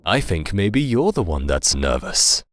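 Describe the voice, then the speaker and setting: Man acting a smug young game antihero, lively swings in pitch, taunting, defiant, faint noise. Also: unhurried. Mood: mocking. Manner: taunting, cocky. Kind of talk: fan-dub fantasy game voice acting.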